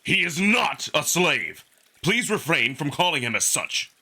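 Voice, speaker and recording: A man's voice: a little high, big pitch swings, a little slow, sharp tone, voice pushed up, full character voice. A man voicing a big, hot-tempered, protective male wolf alien in a visual novel, speaking in a stern, curt, indignant way. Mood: angry. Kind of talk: visual novel character acting.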